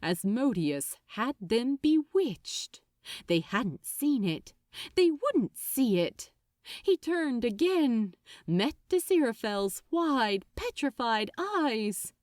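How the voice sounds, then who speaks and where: A woman narrating fiction like an audiobook, with an expressive, deliberate and suspenseful delivery. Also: on the high side, dry recording, clean studio sound. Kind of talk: dramatic scripted audiobook narration.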